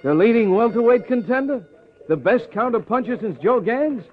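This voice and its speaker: High-voiced, pushy New fight manager. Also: male voice, animated, normal speed, phone-quality sound. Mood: boastful, jovial. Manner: Runyonesque phrasing, boastful, pushy. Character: wheedling, bossy, fast-talking boxing manager, a man. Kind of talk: 1950s radio drama acting. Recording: thin, noisy old radio recording.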